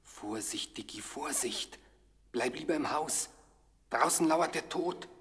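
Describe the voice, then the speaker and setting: An actor playing an anxious storyteller in a radio play, breathy and confiding, with mock suspense. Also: male voice, fairly high pitch, expressive, takes it slowly, fairly bright tone, full character voice. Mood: anxious warning. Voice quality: husky, breathy. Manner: dramatic, confiding. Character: anxious storyteller pleading with the hero. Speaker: narrator.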